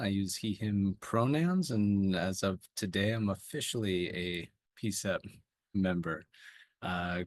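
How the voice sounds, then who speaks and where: A man, a new committee member, introduces himself calmly at an online meeting. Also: low-pitched, dry recording.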